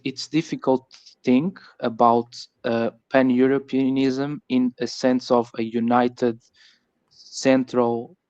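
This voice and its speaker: A man's voice: medium pitch, a little mellow. A man from Portugal with a Portuguese accent, speaking in a casual discussion and often repeating words as he searches for phrasing. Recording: muffled, echoey voice-chat audio.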